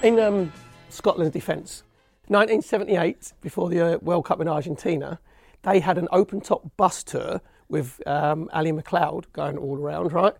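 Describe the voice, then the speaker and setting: A man's voice: fairly high pitch, moderate pace, creaky, dry recording, faint music behind. A man on a comedy panel show, chuckling as he tells a football anecdote. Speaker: panel guest.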